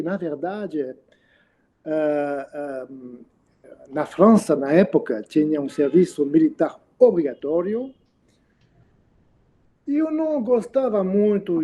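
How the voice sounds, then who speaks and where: A French man in his sixties with a French accent, speaking Portuguese as a second language in a relaxed interview, pausing to search for words. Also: a little high. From France. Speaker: interviewed mathematician. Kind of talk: casual interview.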